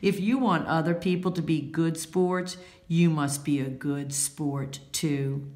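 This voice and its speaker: Female storyteller, low register, instructive picture-book read-aloud, unhurried, roomy echo. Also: a little mellow.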